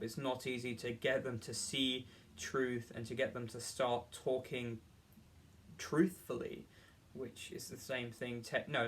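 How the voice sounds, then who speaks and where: A man giving an earnest, unscripted opinion monologue in a halting, rambling way, often repeating and rephrasing as he argues. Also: medium pitch, fairly bright tone, slight background noise.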